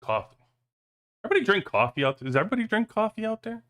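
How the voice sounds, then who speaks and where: A man's voice: sits high, big pitch swings, very fast, a bit bright. A man hosting a livestream in loose, rambling casual chat with joking asides, talking into a cheap headset. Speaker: livestream host. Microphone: cheap headphones headset.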